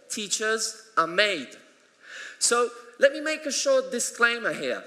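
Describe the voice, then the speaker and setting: A man whose first language is Polish delivers a conference keynote in a high, bright voice. He is earnest and emphatic, with touches of dry humour. The sound carries a long echo from the hall. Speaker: conference plenary speaker. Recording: echoey hall.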